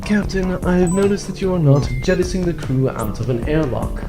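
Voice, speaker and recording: A man's voice: high-pitched, brisk, music in the background. Man voicing a coldly menacing, precise ship computer. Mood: calm, calculating. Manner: formal, precise, calmly threatening diction. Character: cold, logical ship AI turned mutinous and menacing. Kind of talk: sci-fi comedy audio drama acting.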